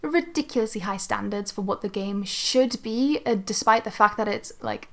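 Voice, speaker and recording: A woman's voice: medium pitch, moderate pace. A woman co-hosting a podcast, chatting casually about video games in an informal, sweary way peppered with "like". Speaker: podcast co-host.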